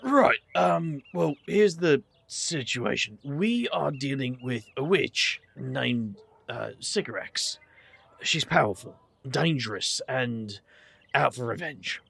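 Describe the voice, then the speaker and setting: A man's voice: medium pitch, animated, slow, vocal fry, a bit bright, breathy edge, no echo, exaggerated voice. An actor playing a wry, guarded young man, hesitant and sardonic, in an audio drama. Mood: earnest.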